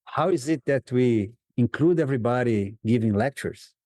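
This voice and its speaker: Earnest guy facilitator, mid pitch, dry sound, plays out client chats, laughs a lot. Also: expressive, normal speed, warm tone, no room sound. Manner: earnest, acts out client dialogue, laughs often. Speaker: guest facilitator. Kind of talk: conversational interview.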